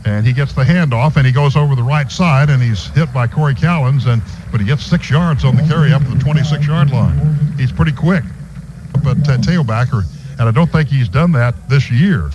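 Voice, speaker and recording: A man's voice: mid-range voice, a little fast, lo-fi sound. An American man doing live play-by-play commentary of a football game for radio. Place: stadium radio booth. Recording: noisy stadium radio broadcast recording. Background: clear background noise.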